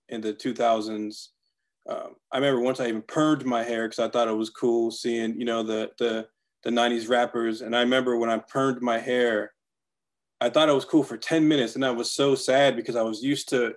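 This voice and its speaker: A middle-aged American man speaks casually and reflectively on a video call. His voice sits at an ordinary male pitch, in an informal, personal style.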